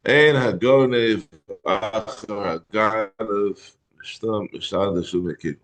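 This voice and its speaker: A hoarse middle-aged man teaching a Talmud class in a halting way, often clearing his throat. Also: fairly low pitch, fairly bright tone. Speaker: Torah teacher.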